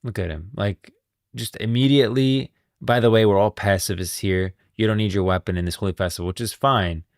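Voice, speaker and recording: A man's voice: fairly low pitch, fairly quick, no room sound, clean studio sound. A man hosting a livestream with casual, emphatic and opinionated commentary on game lore. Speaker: livestream host.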